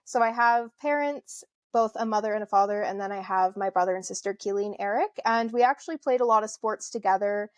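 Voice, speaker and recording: A woman's voice: medium pitch, fairly quick, few pauses, dry recording. A woman in her twenties from Canada, talking as a podcast guest in a friendly, chatty way with many fillers and light laughs. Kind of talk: casual interview conversation.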